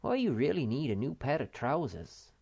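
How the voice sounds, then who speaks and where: A woman voicing a wistful, unhurried male wanderer who speaks in a slow, reflective, homespun way. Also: extremely low pitch, big pitch swings, vocal fry, dark tone, breathy edge, heavily acted.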